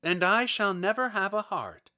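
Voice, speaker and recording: A man voicing an earnest, pleading woodman made of tin in a storybook reading, speaking in measured phrases. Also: high voice, animated, pushed and bright, pitched above the performer's own voice, full character voice.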